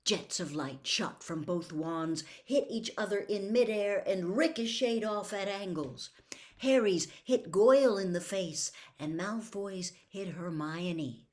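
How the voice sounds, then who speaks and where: A woman narrating a story as a dramatic audiobook reader, expressive and deliberate. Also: mid-range voice.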